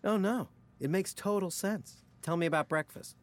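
A man's voice: high-pitched, moderate pace, natural voice. A man acting as a cynical, jaded ad man in a comedic audio drama, melodramatic and profane as he needles his best friend. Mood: sardonic, needling.

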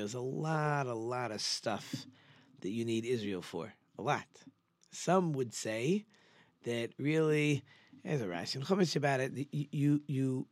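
Male, mid-pitched. Torah teacher, informal and conversational, stresses points by repeating them. Very dry recording. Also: no echo. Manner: conversational, rhetorical questions, emphatic repetition. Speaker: religious teacher giving a Torah class. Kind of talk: informal sermon lecture.

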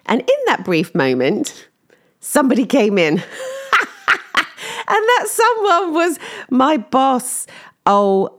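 A woman chatting casually to listeners in a personal update, slightly croaky from lack of sleep, laughing as she tells embarrassing stories. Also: low voice. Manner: chatty, self-deprecating, laughs while telling stories. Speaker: vlogger.

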